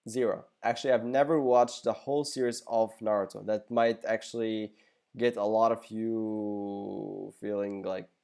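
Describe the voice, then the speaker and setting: A man's voice: on the low side, steady tone, measured pace, vocal fry, warm tone, clear, resonant tone. A young man whose first language is Arabic, answering viewer questions in a casual, rambling way with joking asides. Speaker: gaming YouTuber. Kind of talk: casual Q&A video.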